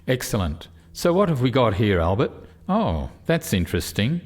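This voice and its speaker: An actor playing a frank, inquisitive alien official in a radio play, speaking in a measured, formal way. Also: male voice, medium pitch, sing-song. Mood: curious. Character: male alien official, curious, frank non-technical visitor.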